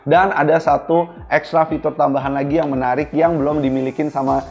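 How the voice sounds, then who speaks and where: A man's voice: fairly high pitch, flat delivery, a little fast, darkish tone. A man presenting a product review casually and informally, speaking directly to viewers. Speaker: product reviewer, video host.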